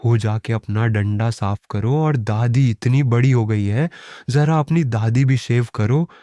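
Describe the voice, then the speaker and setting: Cheeky, lovestruck cartoon pandit, male actor: mellow tone, unhurried pace, greedy comic streak. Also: medium pitch, no room sound, studio quality, close to an everyday voice. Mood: cheeky, impatient. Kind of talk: cartoon voice acting.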